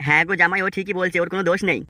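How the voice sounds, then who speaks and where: Guy doing a chummy cartoon village slacker, west bengal accent, really piercing bright voice, talks fast. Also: sits high, steady tone, no room sound, studio quality. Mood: reassuring. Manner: coaxing, slangy. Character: coaxing, chummy village layabout. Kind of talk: comic cartoon dialogue. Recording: slightly noisy cartoon soundtrack.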